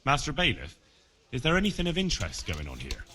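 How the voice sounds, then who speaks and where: A man's voice: medium pitch, huge pitch swings, fast, sharp tone. An actor playing an eager, polite young man serving in a medieval town guard in a video game, with music behind him. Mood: respectful, dutiful. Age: young adult.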